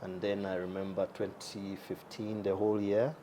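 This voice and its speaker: A man's voice: low-pitched, level tone, normal speed, dark tone, a little hiss or hum. A young man as an interview guest, talking casually with many fillers and easy laughs. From Uganda. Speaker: guest, entrepreneur.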